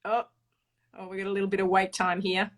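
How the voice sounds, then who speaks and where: A woman of middle pitch hosts a casual live stream as a fertility doctor. She talks in a chatty, upbeat way, laughing easily between remarks. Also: completely flat delivery, brisk.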